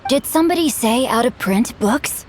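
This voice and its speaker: A woman voicing a polite, bookish young gentleman in a video game, speaking in a formal, courtly, eager way. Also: fairly high pitch, lively intonation, moderate pace, slight background noise, natural voice. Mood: courteous, eager. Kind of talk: video game dialogue acting. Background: game music.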